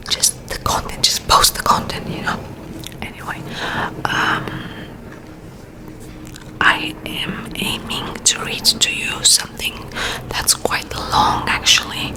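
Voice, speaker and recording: A woman's voice: lowish voice, poor audio. A woman whispering close to the mic in an ASMR video, chatting in rambling asides and reading aloud. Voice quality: soft unvoiced whisper. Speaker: ASMR creator. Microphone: close to a sensitive mic.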